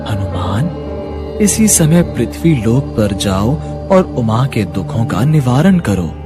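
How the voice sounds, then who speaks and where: A man voicing a solemn divine king in a cartoon, speaking slowly and commandingly. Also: medium pitch, big pitch swings, music in the background. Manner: slow, commanding.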